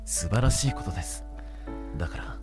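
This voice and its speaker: A gruff, earnest workman mentor in an anime game. His low voice delivers blunt, solemn declarations extremely fast, over clearly audible music. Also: animated. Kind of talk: Japanese visual novel voice acting.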